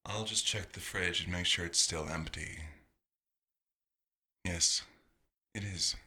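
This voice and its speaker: A man playing a nostalgic, fastidious vampire doctor in an ASMR roleplay, murmuring to himself in an unhurried, gently wistful way. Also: low-pitched, exaggerated voice. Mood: calm, musing.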